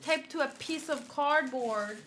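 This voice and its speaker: A woman's voice: high voice, measured pace, fairly bright tone, slight background noise. A woman streamer chatting casually and giggling as she unboxes and assembles a figure, answering her chat.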